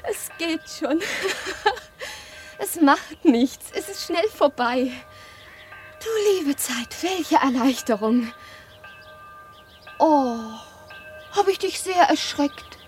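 A woman's voice: sits high, lively intonation, drawn-out words, long pauses, soft background music, heavily acted. An actress playing a haughty, capricious, mysterious young lady in a radio play, with a languid, theatrical, imperious manner. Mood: languid, relieved.

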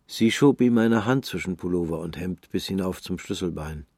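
Deep-voiced male radio drama narrator. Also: moderate pace, a little mellow, slightly rough, no room sound, clean studio sound.

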